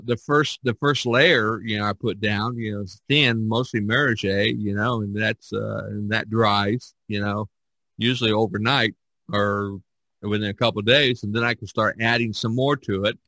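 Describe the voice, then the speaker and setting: Guy hosting a laid back podcast chat, kinda rambly and jokey, bright voice, real dry sound. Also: fairly low pitch, steady tone, normal speed, no room sound. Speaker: podcast host. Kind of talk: casual chat about painting.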